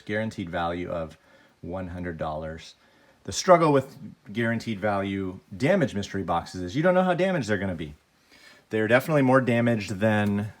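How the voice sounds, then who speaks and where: A man with a cold, his voice deeper than usual, chatting casually as he unboxes toys and grades each one. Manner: plain, chatty, grading each item out loud. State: has a cold. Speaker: toy unboxing vlogger.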